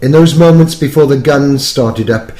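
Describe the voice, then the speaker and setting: A man reading a poem in the voice of a posh young English officer from the First World War, with a put-on upper-class English accent and a measured storytelling manner. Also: mid-range voice, mellow, pitched above the performer's own voice, lightly acted. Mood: wistful. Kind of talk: poetry reading. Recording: roomy echo.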